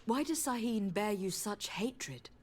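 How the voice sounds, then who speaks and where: A woman's voice: mid-range voice, expressive, a little slow, a little hiss or hum, natural voice. An actress playing a principled noblewoman envoy in a fantasy video game, questioning and reproaching with formal composure. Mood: reproachful, questioning.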